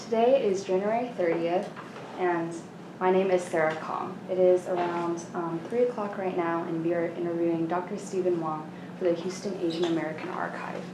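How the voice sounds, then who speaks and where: A woman's voice: fairly low pitch, steady tone, moderate pace. A young woman conducting an oral history interview, asking questions in a hesitant way with fillers. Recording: echoey room, distant mic, noise.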